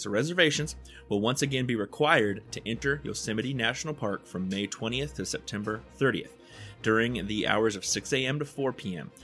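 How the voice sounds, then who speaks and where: A man's voice: lowish voice, fairly bright tone. A man hosting a local news show, reading press releases casually and adding joking asides. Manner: laid-back, adds joking asides to the news. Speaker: local news podcast host.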